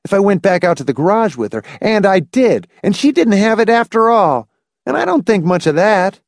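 Man putting on a high-pitched, stubborn young boy. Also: very animated, normal speed, no room sound, clean studio sound. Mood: vigorous. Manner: complaining, vigorous, belligerent. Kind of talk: audiobook character voice.

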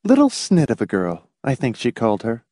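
A man voicing a sly, commanding wife with a brisk manner, in an audiobook. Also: mid-range voice, wildly swooping pitch, dark tone, dry recording, studio quality. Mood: coolly sly. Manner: clear, commanding.

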